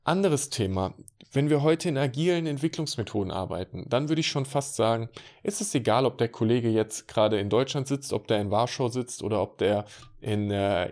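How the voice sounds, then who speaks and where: A man's voice: mid-range voice, a little mellow. Man talking straight to the listener. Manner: direct, conversational, addresses listener informally. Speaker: IT consultant. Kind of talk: casual monologue to the listener.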